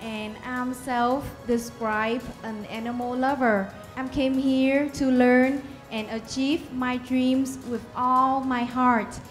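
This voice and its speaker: A twenty-year-old woman from Vietnam, a non-native English speaker, giving a nervous, halting self-introduction as a pageant contestant. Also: sits high. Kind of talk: prepared self-introduction speech.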